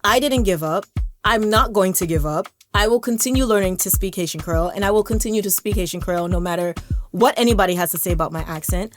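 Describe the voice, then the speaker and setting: A woman's voice: mid-range voice, quick pace, faint music behind. A young American woman vlogger talking to camera in a casual, encouraging way, often repeating words as she restarts. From United States. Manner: conversational, stumbles and repeats words, laughs now and then. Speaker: language vlogger.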